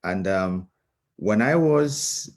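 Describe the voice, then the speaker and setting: A man from Cameroon with a Cameroonian accent, giving a reflective personal talk as a guest speaker in a halting, thoughtful, earnest manner. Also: lowish voice, expressive.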